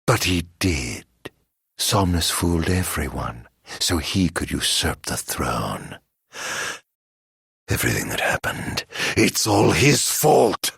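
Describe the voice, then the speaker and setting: A man's voice: low voice, big pitch swings, slow pace, gravelly, fairly bright tone, slightly rough, no echo, studio quality, full character voice. An actor playing a theatrical, vengeful fallen king turned immortal villain in a video game, with grandiloquent, ornate diction. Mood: grieving, bitter. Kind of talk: dramatic video game voice acting.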